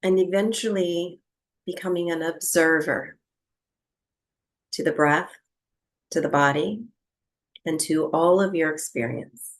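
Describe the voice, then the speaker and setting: A woman teaching breathwork, giving gentle, unhurried guided relaxation instructions with long pauses between phrases. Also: lowish voice, low-quality recording.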